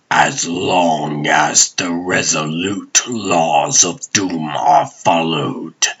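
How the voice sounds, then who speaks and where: Very deep, husky solemn guardian. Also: male voice, bright, forceful tone, heavily acted. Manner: solemn, formal, measured. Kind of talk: comic dub character acting.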